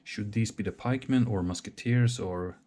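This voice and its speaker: A man thinking aloud while he sets up a solo wargame, talking hesitantly with many pauses and restarts. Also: lowish voice, mellow. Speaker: solo board game player.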